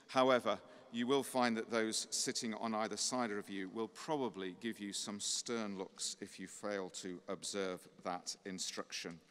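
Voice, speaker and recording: A middle-aged clergyman speaks at a lectern microphone, his voice slightly croaky yet smooth and clear. He delivers a courteous, gently humorous welcome. Long cathedral reverb trails each phrase. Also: mid-range voice. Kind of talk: formal welcome address. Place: large cathedral.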